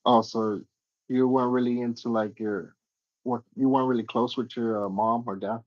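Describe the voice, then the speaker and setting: A man's voice: mid-range voice, steady tone, normal speed, no echo, dull audio. A man hosting a casual livestream interview, slangy and agreeable, asking questions in a laid-back way.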